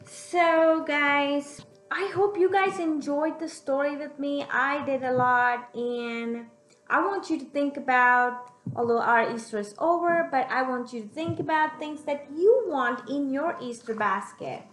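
A young woman reading a picture book aloud to young children in an expressive, teacherly way, pausing to put questions to them. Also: high-pitched. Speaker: children's storyteller. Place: room at home, indoors. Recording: echoey room, music in the background.

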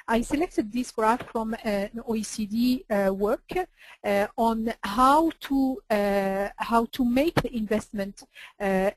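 Woman presenter, halting delivery full of fillers, dry recording. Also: medium pitch, no room sound, rough recording. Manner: hesitant, many "uh" fillers. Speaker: webinar presenter. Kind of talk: presenting slides in a webinar.

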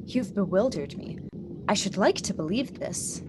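A woman's voice: mid-range voice, animated, a little slow, darkish tone, close to an everyday voice. A woman acting an earnest, compassionate young idealist in a radio drama, questioning as she speaks. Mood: troubled. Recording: clearly noisy live drama recording.

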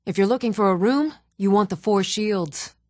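An actress playing an earnest, dutiful woman of a fantasy realm in a video game, speaking in a measured, composed and formal way. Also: medium pitch, darkish tone, no echo, studio quality, close to an everyday voice. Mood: matter-of-fact.

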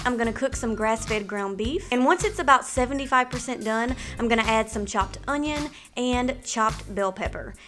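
A woman in her thirties hosting a cooking channel, walking through meal prep in clear step-by-step voice-over. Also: a little high, fairly bright tone. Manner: clear step-by-step instructional delivery. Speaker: host of a healthy cooking channel. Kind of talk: upbeat recipe walkthrough with voice-over.

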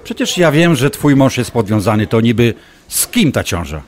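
An actor playing a smug, obsessive doctor in an audio drama, taunting and insinuating as a manipulative ex-lover. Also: male voice, mid-range voice, big pitch swings, moderate pace, lightly acted. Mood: insinuating, pressing. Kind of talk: audio drama acting, dialogue.

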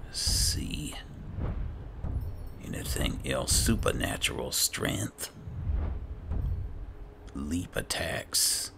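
A man giving low-key, muttered in-character commentary as he roleplays through a video game. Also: sing-song, very slow, vocal fry, pauses often, noisy background. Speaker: gaming video host. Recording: game music under the voice. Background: video game music.

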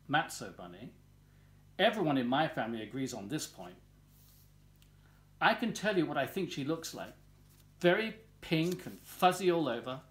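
A man in his sixties reading a children's storybook aloud in an expressive, deliberate storytelling manner, recorded in a living room at home. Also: a little high. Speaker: volunteer story reader.

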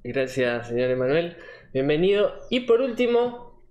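A man's voice: fairly high pitch, sing-song, a little slow, a little mellow, muffled recording. A man in his twenties with an Argentine accent, playfully hosting casual live-stream banter with mock formality. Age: 20s. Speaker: streamer hosting a group call. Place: bedroom at home.

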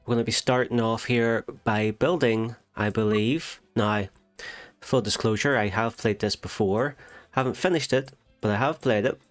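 A man's voice: fairly low pitch, moderate pace, soft background music. Nasal-sounding man playing a game. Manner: chatty, self-correcting, thinks aloud. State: nasal from a cold. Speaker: gaming channel host. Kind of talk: casual let's play commentary.

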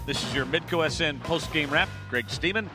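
Brief: middle-aged male sportscaster, a touch high-pitched, crisp and brisk, headset mic at an arena desk, music bed playing under him. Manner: brisk, polished broadcast delivery. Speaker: play-by-play host. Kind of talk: post-game sports wrap.

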